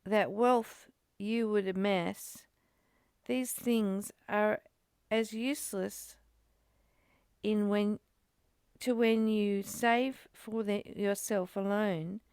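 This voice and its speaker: A woman's voice: mid-range voice, fairly even intonation, slow, a little mellow, clean tone, no room sound. A woman reading aloud and reflecting in casual discussion, in a musing, questioning and conversational manner. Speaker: study group co-host, reader.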